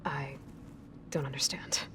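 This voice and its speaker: A woman's voice: a bass voice, fairly even intonation, a little slow, a bit bright, slight background noise. An actress playing a determined young female warrior leader in a video game, speaking decisively in short, plain instructions. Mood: cautious, gentle. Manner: decisive, clipped orders. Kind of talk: video game cutscene acting.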